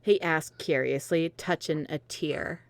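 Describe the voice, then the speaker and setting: A woman's voice: low-pitched, unhurried, dry recording. A woman narrating a dramatic reading of fan fiction, with some commentary of her own.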